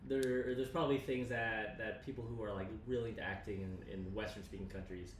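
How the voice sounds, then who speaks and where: A man chatting casually as co-host of a livestream, rambling excitably and often repeating his phrases. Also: on the low side, a little fast, far from the mic. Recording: roomy echo, faint background noise.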